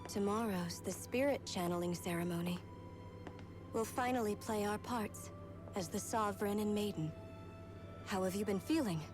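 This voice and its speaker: A woman's voice: medium pitch, normal speed, pauses often, over music, close to an everyday voice. A woman acting a composed, earnest young maiden. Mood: calm, resigned. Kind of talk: video game cutscene voice acting.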